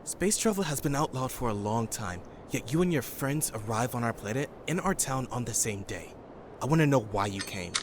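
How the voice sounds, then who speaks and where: A guarded young man in a sci-fi audio drama, voiced by a male actor, stern and easily exasperated. He talks tersely at a middling pitch, with clear ambient noise behind him. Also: animated, moderate pace, close to an everyday voice. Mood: guarded, tense.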